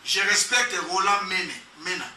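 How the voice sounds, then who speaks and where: A man makes political declarations in a very high, extremely sharp voice. He is forceful. He sounds some way from the microphone in an echoey space. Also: steady tone, measured pace. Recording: echoey, distant mic, faint noise.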